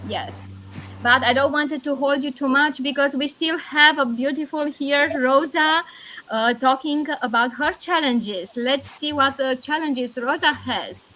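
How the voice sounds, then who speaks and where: A woman's voice: high voice, sharp tone, poor audio. A woman hosting a live online session over a video call. She cheers on her guests and introduces the next speaker. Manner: encouraging, quick affirmations.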